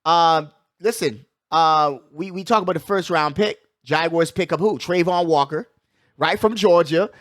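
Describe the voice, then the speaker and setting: A man's voice: fairly high pitch, unhurried, fairly bright tone, dry recording, clean studio sound. A man hosting a sports show, talking casually with strong opinions in a slangy, emphatic, conversational way, often repeating his phrases.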